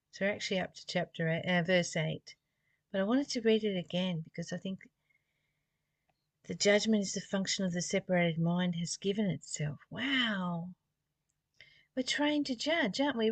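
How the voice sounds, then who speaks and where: A woman with a slightly low voice leads a spiritual study, moving between reading and informal reflection. She speaks very slowly and muses as she goes, often putting rhetorical questions to the listener. The sound is close and dry. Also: no echo. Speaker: spiritual study reader and commentator.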